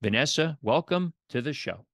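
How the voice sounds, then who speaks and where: A man hosting an interview podcast, presenting in a deliberate, announcer-like way. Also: fairly high pitch, lively intonation, fairly bright tone, dry recording, studio quality. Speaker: podcast host. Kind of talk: scripted podcast intro and interview.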